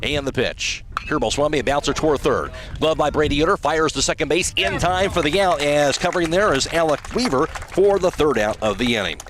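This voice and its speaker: A man's voice: on the high side, lively intonation, brisk, fairly bright tone, a little hiss or hum. Male baseball play-by-play radio announcer. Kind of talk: live baseball play-by-play commentary. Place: outdoor ballpark radio broadcast.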